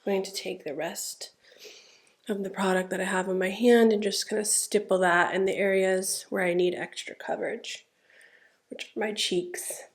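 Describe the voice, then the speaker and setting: A woman's voice: mid-range voice, normal speed. A young woman with a stuffed-up nose who chats casually through a makeup tutorial, narrating every step with lots of "like" and "um". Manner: chatty, relaxed, narrating each step with fillers. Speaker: beauty vlogger.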